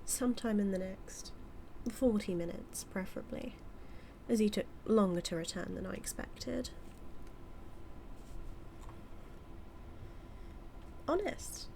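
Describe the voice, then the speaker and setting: A British-accented woman playing a smug, manipulative evil magician in a scripted audio roleplay, teasing and taunting with deliberate, drawn-out phrasing. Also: medium pitch, vocal fry, mellow, slight background noise, lightly acted. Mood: coolly persuasive.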